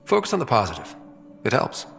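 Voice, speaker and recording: Lively young man, wry space explorer. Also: medium pitch, brisk, creaky, big-room sound, soft background music, exaggerated voice. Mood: bemused, supportive. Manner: wry, casual. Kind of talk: video game dialogue acting.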